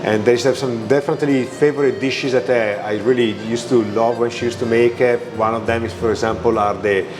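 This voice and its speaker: Italian-accented restaurant owner, halting answers, music underneath. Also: male voice, medium pitch, steady tone. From Italy. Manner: halting, many fillers and restarts. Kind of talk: interview answers.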